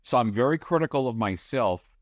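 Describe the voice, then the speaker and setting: A man's voice: medium pitch, no echo. A man, a radio play-by-play announcer, talking energetically in a casual podcast chat. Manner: energetic, explanatory, rapid description.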